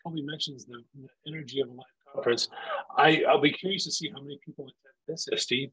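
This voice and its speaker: A man with a rough, gravelly voice hosts an online session for teachers. He talks loosely with many fillers, and the call audio cuts in and out. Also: mid-range voice, bright, forceful tone, low-quality recording. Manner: loose, filler-heavy, friendly instructions. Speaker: webinar presenter for teachers. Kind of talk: casual online presentation. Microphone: video call. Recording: video call audio, volume dropping in and out.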